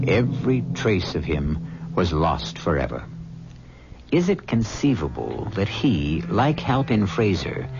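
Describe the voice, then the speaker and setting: A man narrating and hosting a radio mystery in a measured, ominous way. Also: on the low side, gravelly. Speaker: host and narrator. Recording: dull old radio recording with music.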